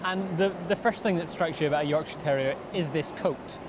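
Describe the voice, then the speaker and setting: A man's voice: a little high, a little fast. A young man presenting and putting questions to guests in an interview. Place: large busy indoor exhibition hall. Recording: busy show hall noise.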